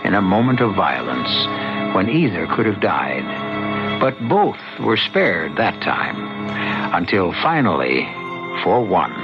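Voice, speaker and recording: A man hosting an old-time radio mystery, narrating dramatically with deliberate pauses. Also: low-pitched, big pitch swings. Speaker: host and narrator. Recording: dull old radio recording, loud music.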